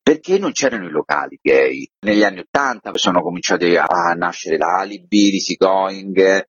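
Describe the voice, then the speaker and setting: Low, husky older Roman man. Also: dry recording. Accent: Roman Italian. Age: 60s. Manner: colloquial, reminiscing. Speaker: interview guest, actor and director. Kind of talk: casual anecdotal storytelling in a radio interview. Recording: dull, lo-fi radio interview audio.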